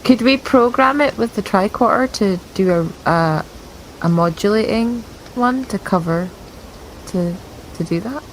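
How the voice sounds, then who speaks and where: A woman's voice: medium pitch, measured pace, slight background noise, poor audio. A woman playing in a tabletop game, talking casually and cautiously with the group. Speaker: player.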